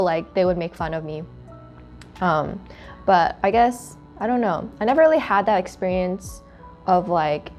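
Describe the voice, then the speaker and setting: A woman's voice: fairly low pitch, darkish tone. A young adult woman whose first language is Korean, hosting a casual chat and telling stories with many "like" fillers. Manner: storytelling, frequent "like" fillers. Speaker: student club podcast host.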